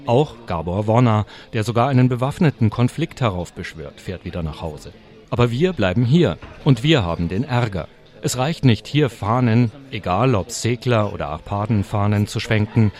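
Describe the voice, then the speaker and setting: A man reading translated interview answers as a voice-over, in a plain, steady way. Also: on the low side, moderate pace.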